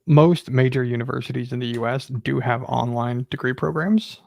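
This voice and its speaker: A man's voice: mid-range voice, normal speed, vocal fry, no echo. A man, a panelist explaining things in casual conversation.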